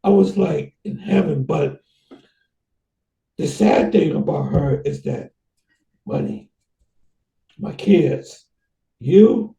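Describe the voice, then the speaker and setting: A man hosting a casual conversation, rambling and halting with many restarts. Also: on the high side, animated, creaky, dark tone, roomy sound, distant mic, dull audio. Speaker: host.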